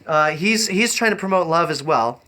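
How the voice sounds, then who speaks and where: Bright-voiced rambling man, higher-pitched. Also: animated, moderate pace. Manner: rambling, easily distracted, repeats phrases. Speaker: live-streaming host. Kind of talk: improvised casual livestream talk.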